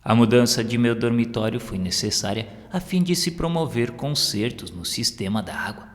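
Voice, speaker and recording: A man's voice: medium pitch, moderate pace, close to an everyday voice. A Brazilian man voicing a humble male spiritist medium in an audiobook, polite and formal. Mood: courteous. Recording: long hall reverb, music underneath.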